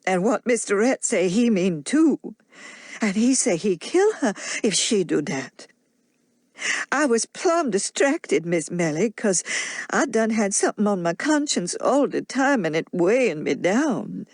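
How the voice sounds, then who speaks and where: A woman's voice: medium pitch, very animated, a little slow, darkish tone, no room sound, clean studio sound. A woman voicing a devoted, frightened old nanny with a heavy Southern US accent and plainspoken dialect. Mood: troubled.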